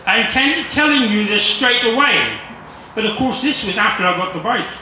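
A jovial man in his sixties reading aloud haltingly to an audience, with humorous asides. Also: high-pitched, expressive, noisy background. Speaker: guest reader. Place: large marquee tent with seated audience. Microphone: handheld mic through speakers, filmed from audience. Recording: very echoey PA in tent.

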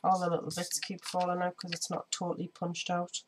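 A woman with a slightly croaky voice, chatting casually through a scrapbooking tutorial with lots of ums while she works at a craft table. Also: on the low side, monotone, a little fast, slight background noise. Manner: chatty, many ums, hands busy while talking. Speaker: scrapbooking vlogger. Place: indoor home craft table. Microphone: overhead camera on desk, speaker off screen.

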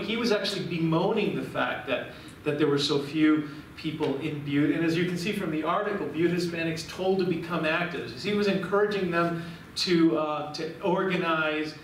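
A middle-aged man from Cuba giving a public lecture, talking warmly with frequent restarts, recorded from far away in a large room. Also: a little high, a little hiss or hum. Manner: warm, rambling with frequent restarts. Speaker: guest lecturer. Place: large room with projection screen, indoors. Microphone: far camera. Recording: very echoey room, recorded from afar.

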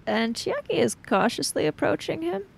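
A woman playing a tabletop role-playing game, narrating her character's actions in a hesitant, halting way. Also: sits high, very animated, gravelly.

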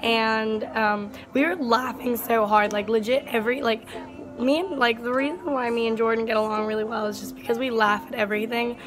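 Young woman, raspy strained voice, rambling casual chat, slightly high, ukulele music behind. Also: fairly even intonation, fairly quick, low-quality recording. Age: young adult. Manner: rambling, filler-heavy with lots of "like". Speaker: vlogger. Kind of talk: casual travel vlog chat. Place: hotel room and outdoor terrace on an island. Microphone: phone camera at arm's length.

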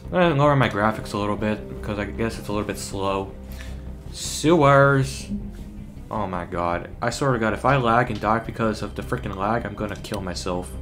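A man's voice: lowish voice, moderate pace, fairly bright tone, soft background music. A young man giving casual, informal game reaction commentary, swearing and exclaiming as he goes. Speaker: gaming YouTuber.

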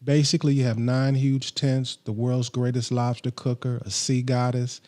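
Middle-aged man, podcast host, mellow dark tone, steady scripted read, close studio mic, small home studio. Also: medium pitch, moderate pace. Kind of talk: scripted countdown list. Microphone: studio mic on boom arm, headphones on.

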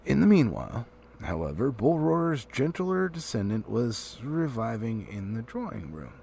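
A man reading a fantasy storybook aloud as an amateur narrator, in an animated storytelling style. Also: medium pitch, moderate pace, gravelly, slight background noise. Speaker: amateur book reader.

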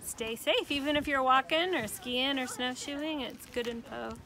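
Woman talking on a snowy trail, kinda high voice, noisy outdoor audio. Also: unhurried. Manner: chatty, explaining with fillers. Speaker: travel vlogger. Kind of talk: casual vlog narration.

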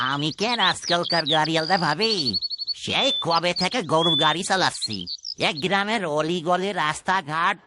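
A man's voice: on the high side, a little slow, natural voice. A man voicing a chatty, reassuring village fellow in a fairytale cartoon, with an easygoing manner. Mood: folksy, reassuring. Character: folksy, chatty village man, husband and cart driver. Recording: noisy background.

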